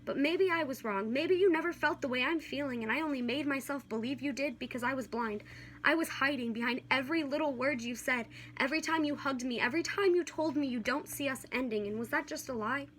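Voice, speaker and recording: A young woman with a high-pitched voice recites slam poetry. Her delivery is earnest and dramatic, carried by a steady spoken-word rhythm. It is an amateur recording with a little noise behind her. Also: fairly quick.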